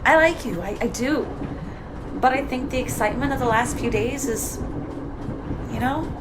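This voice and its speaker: A woman's voice: on the high side, moderate pace, close to an everyday voice. A woman playing a tender, candid romantic companion in an audio play, speaking expressively. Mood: hesitant, tender. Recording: noisy home recording.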